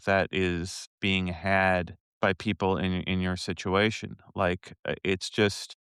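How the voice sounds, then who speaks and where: A man interviewing a guest in a relaxed, musing way, often restarting his phrases. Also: deep voice, takes it slowly, clear, resonant tone, no echo, studio quality. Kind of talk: casual interview conversation.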